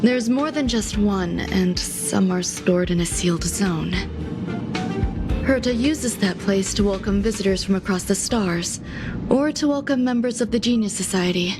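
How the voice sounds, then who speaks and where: A composed female scientist voiced by a woman speaks at a medium pitch in a video game. Her manner is courteous, detached and understated, with music playing clearly behind her. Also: close to an everyday voice. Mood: calm, instructive. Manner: measured, polite, understated.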